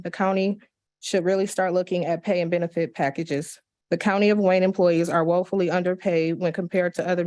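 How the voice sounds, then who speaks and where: Woman reading letters in a flat voice. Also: on the low side. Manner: steady, measured reading with small slips and restarts. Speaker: meeting staff reading public comments. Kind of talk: reading written letters aloud into the record. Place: county commission meeting room.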